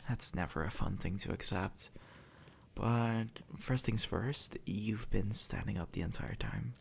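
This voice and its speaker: A man's voice: lowish voice, measured pace, vocal fry, slight background noise. A man playing a sardonic, impatient demon in a conversational audio roleplay, blunt and sarcastic, speaking straight to the listener. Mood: calmer, reassuring. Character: sardonic, impatient male demon, centuries dead, gruffly reassuring.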